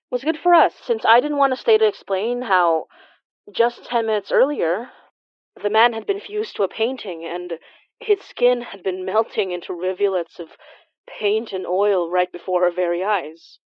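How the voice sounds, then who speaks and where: A woman's voice: mid-range voice, dry recording, natural voice. A woman playing an earnest, defiant young heroine in a horror audio drama. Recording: slightly dull sound.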